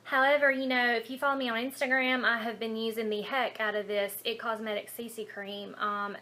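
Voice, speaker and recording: A woman's voice: fairly high pitch, level tone, a little fast, bright, forceful tone. A woman from Alabama with a Southern accent chats casually to camera as a beauty vlogger, informal and rambling, poking a little fun at herself. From Alabama, United States.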